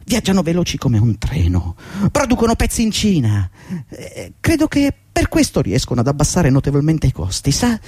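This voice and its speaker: Agitated middle-aged clerk, deferential, insistent, high swooping pitch, dry close sound, radio play. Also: male voice, wildly swooping pitch, moderate pace, a little mellow, husky, dry recording, exaggerated voice. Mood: eager, chatty. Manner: fretful, deferential, rambling and insistent. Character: agitated, servile, long-serving male employee pleading with his boss. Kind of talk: theatrical radio drama acting.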